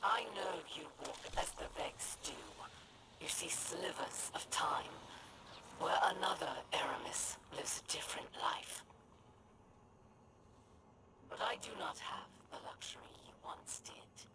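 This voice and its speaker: A woman's voice: lowish voice, wildly swooping pitch, a little slow, gravelly, long pauses, in a whisper, full character voice, rough recording. A woman voicing a bitter, defiant female alien warlord in a video game, with a menacing, dramatic delivery. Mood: bitter defiance. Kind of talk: scripted video game dialogue.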